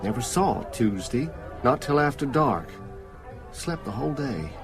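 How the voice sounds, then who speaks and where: An actor playing a sick, frightened small-town working man in a radio drama, speaking in a weary, halting, dazed way. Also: mid-range voice, very animated, music in the background, a crowd in the background. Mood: exhausted, haunted.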